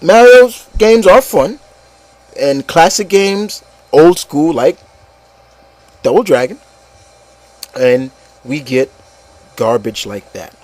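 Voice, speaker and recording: A man's voice: fairly high pitch, animated, slow pace, pauses often, darkish tone, no echo, rough recording. A man hosting an online show, talking casually and emphatically in a group discussion, often repeating himself for stress. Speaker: host of an online opinion show.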